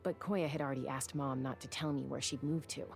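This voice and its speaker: An actress playing a composed, wistful young woman in a video game, speaking in a measured, reflective way. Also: a bass voice, steady tone, creaky, a little mellow, soft background music. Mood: regretful, resolute. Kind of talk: video game dialogue acting.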